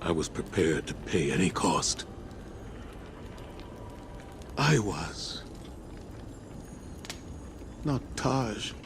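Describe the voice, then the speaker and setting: Slow, deep-voiced grieving commander. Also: male voice, big pitch swings, noisy background, full character voice. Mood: anguished grief. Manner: grave, deliberate, anguished. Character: grieving, broken older male commander, solemn warrior. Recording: dramatic music behind the voice.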